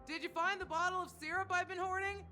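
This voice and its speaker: A woman's voice: very high voice, fairly even intonation, fast talker, bright, forceful tone. A woman playing a teasing, alluring dream-bar hostess in a video game, coaxing and theatrical. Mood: playful, coaxing. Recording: music clearly behind voice.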